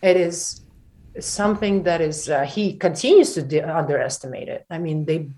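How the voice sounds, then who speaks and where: A woman from Ukraine talks through a conversational interview in a low voice. She speaks haltingly, restarting often, then presses her points with emphasis. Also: expressive, mellow. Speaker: interview guest.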